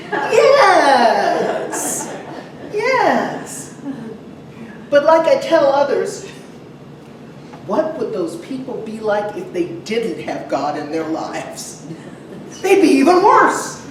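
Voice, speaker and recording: A woman preaching a sermon to a congregation in a conversational way, putting questions to her listeners and pausing for emphasis. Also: high voice. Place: large echoey church room. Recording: very echoey, distant mic, some noise.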